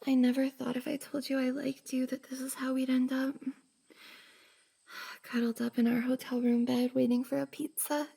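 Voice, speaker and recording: Woman acting a high-pitched, shy, whispery young woman. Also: level tone, normal speed, dark tone, heavily acted. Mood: affectionate, playful. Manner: breathy, hesitant, sighing, often dropping to a whisper. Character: shy, flustered young woman cosplayer confessing to best friend. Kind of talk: intimate roleplay ASMR audio drama.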